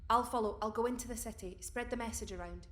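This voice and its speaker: A woman's voice: a little high, fast, a bit bright, in a large hall, close to an everyday voice. An actress playing a brave, steadfast woman of the resistance in an audio drama, earnest and reassuring. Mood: earnest, determined. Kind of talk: fiction podcast audio drama acting.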